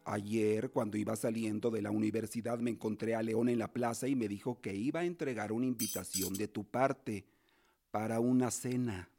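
A man's voice: fairly low pitch, fairly even intonation, normal speed, creaky, mellow, faint music behind. A man acting in a radio drama as a stern, prudent uncle and guardian, a colonial-era lawyer with a formal, paternal manner. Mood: probing.

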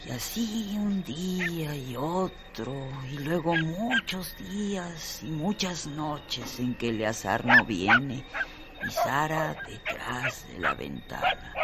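Slow male radio drama narrator, noisy backdrop. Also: fairly high pitch, animated. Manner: measured, in short separated phrases. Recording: strong noise behind the voice.

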